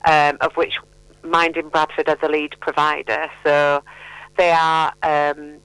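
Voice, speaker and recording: Middle-aged female charity spokesperson for radio interview; clear, resonant, playful and encouraging, close dry sound. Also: sits low, level tone, unhurried, fairly bright tone, no echo, rough recording. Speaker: mental health charity representative, radio guest.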